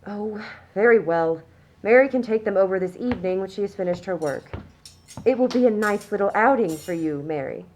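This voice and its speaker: A genteel middle-aged mother of the upper class, acted by a woman for a radio play. She is smug and prim, talking down to everyone in a self-satisfied, condescending way. Her pitch sits in the middle range. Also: animated, brisk, darkish tone, a little hiss or hum, lightly acted. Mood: prim, chiding. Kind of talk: scripted radio play acting.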